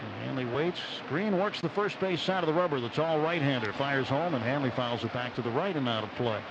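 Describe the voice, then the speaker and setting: Male baseball radio announcer over loud ambience. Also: mid-range voice, a little fast, noisy background. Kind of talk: live radio sports commentary. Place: outdoor ballpark broadcast booth. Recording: dull radio broadcast, loud stadium ambience. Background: loud outdoor ballpark ambience.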